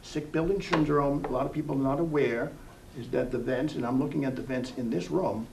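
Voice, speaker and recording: A man's voice: mid-range voice, brisk, creaky, darkish tone. A man hosting an interview program in a casual, rambling, conversational way. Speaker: TV program host. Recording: distant mic with faint room hiss.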